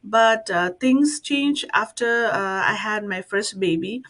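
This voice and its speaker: A woman in her forties from Nepal, a guest on an online talk show, telling her story with frequent pauses and fillers. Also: medium pitch, a bit bright. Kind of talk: interview storytelling.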